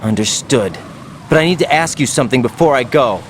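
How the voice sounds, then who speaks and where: A man's voice: medium pitch, lively intonation, fairly quick, a little hiss or hum, close to an everyday voice. An actor playing a young male soldier, an anxious rookie agent who keeps asking uneasy questions. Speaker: infiltration agent.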